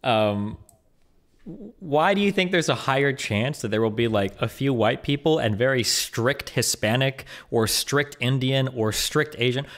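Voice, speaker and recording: A man streaming a casual online debate, arguing quickly with profanity and mocking laughs. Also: mid-range voice. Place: Los Angeles.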